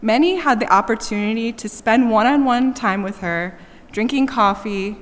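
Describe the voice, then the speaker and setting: A woman's voice: on the high side, moderate pace. A woman reads a prepared eulogy aloud as a family speaker at a memorial, in a large echoey church. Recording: echoey church hall with long reverb.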